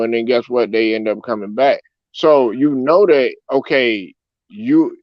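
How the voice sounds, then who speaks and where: A man's voice: medium pitch, dry recording. A man hosting a casual online sports talk show, rambling and dropping "man" into his sentences. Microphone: online call. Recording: dry, dull lo-fi call audio.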